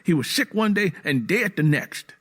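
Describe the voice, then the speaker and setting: A man's voice: high voice, lively intonation, moderate pace, fairly bright tone, slightly rough, pitched above the performer's own voice, heavily acted. A man voicing a stern, embittered American Southern man with a blunt, defiant rural way of talking, in a narrated story.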